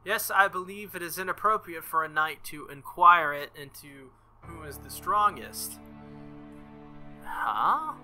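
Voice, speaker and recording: A man with a sharply bright voice set a little high reads visual novel dialogue aloud for a playthrough. He is playful and jokey, occasionally tripping on a line and reading it again. Faint game music plays behind him. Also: measured pace. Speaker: video game streamer.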